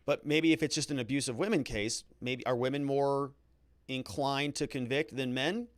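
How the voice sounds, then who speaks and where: A man's voice: medium pitch, fairly even intonation, moderate pace, no echo. A man hosting a livestream of legal commentary, thinking aloud conversationally with hedges and repeated words for emphasis.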